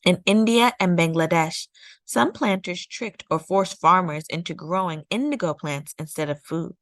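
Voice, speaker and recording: Female storytime narrator for children's nonfiction. Lower-leaning pitch, measured delivery, very little room sound. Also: fairly bright tone, no room sound. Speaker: storytime read-aloud host. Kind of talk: scripted read-aloud narration of a children's nonfiction picture book.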